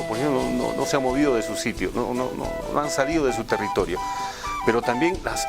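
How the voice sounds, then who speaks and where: Peruvian man presenting over loud music. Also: medium pitch. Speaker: radio administrator presenting a project. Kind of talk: spoken presentation. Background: instrumental music.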